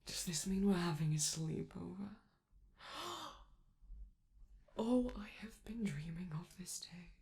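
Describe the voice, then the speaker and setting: A woman voicing a flamboyant, vain robot star in a scripted ASMR roleplay, theatrical and doting, with teasing endearments and drawn-out words. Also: lowish voice, dark tone. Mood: excited, playful.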